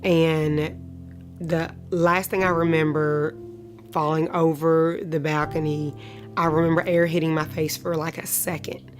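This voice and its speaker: A woman of thirty-five giving a personal testimony in an interview, speaking in a measured way with pauses. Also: sits low, little pitch movement. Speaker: client of a shelter charity telling her story. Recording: music playing behind the voice. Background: instrumental music.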